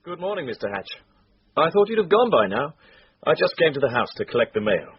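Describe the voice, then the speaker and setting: An actor playing a club boss's efficient private secretary in a radio drama, polite, careful and discreet. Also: male voice, fairly high pitch, sing-song, a little fast, husky, dry recording, exaggerated voice. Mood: concerned. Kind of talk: British radio drama acting. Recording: dull lo-fi radio broadcast.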